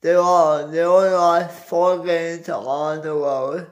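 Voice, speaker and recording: A young man giving a casual sports recap to his phone at home, halting as he searches for words. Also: a little high, fairly even intonation. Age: young adult. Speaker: sports vlogger. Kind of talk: casual postgame basketball recap. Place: small indoor room at home. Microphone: phone at arm's length.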